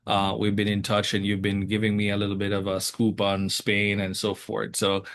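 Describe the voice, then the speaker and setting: Gravelly, halting male interview host. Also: fairly low pitch, monotone, fairly bright tone. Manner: halting, many fillers and repeated words. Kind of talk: casual interview.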